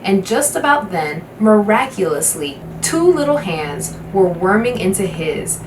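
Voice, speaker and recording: A woman reading a children's novel aloud with measured, dramatic phrasing. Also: mid-range voice, very animated. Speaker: guest storybook reader. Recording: roomy, distant microphone, faint background noise.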